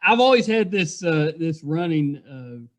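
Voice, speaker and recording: A middle-aged man hosts a casual conversational interview. His voice is somewhat high for a man. He phrases things haltingly and agreeably. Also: big pitch swings. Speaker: podcast host, interviewer.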